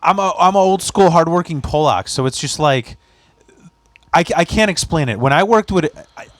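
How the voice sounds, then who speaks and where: A man's voice: on the high side, lively intonation, normal speed, dry recording. Laid-back man with a Polish accent. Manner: laid-back, agreeable, swears casually. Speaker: podcast host. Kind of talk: casual podcast chat. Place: basement.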